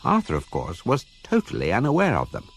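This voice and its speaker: An actor as a wry, authoritative guidebook narrator in a radio comedy, measured and deadpan. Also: male voice, fairly low pitch, husky, full character voice.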